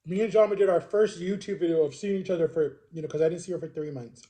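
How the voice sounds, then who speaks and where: A man's voice: on the high side, fast, dark tone. A man in his thirties telling personal stories to viewers in a casual, chatty vlog style, rambling and correcting himself with emphatic repetition. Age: 30s. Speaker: vlogger.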